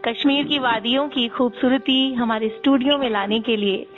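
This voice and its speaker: Host: woman radio presenter, fairly high voice, polished, thin phone-like sound. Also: steady tone, normal speed. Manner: polished, frequent affirming interjections. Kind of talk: radio interview hosting. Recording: tinny phone-like radio sound, faint music.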